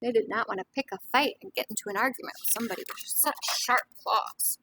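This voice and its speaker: A woman with a fairly high voice reads a children's picture book aloud in the manner of an early learning teacher. She steps out of the story to explain things simply and to put questions to her young listeners. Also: fast talker, bright, forceful tone, a little hiss or hum, poor audio.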